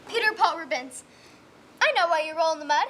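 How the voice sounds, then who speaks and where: A woman's voice: very high-pitched, lively intonation, a little fast, fairly bright tone. A young woman playing an earnest, tender girl in a stage play, talking to her pet pig. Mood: tender, dreamy.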